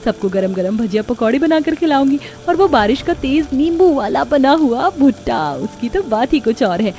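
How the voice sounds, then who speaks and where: A woman's voice: high-pitched, lively intonation, normal speed, dark tone. A woman voicing a sweet, eager, dutiful young new bride in a cartoon story. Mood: excited.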